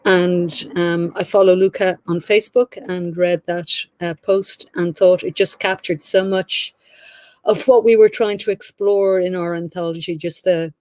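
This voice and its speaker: Lowish-voiced woman, dry sound. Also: a little mellow, no room sound. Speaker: anthology editor introducing a reader. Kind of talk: informal spoken introduction.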